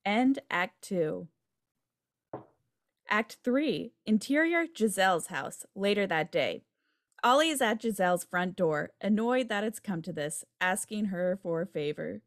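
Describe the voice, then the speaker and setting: A woman's voice: medium pitch, expressive, a little slow, no room sound, studio quality. A woman reading out stage directions as scripted narration for a table read over a video call. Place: indoor room at home on video call.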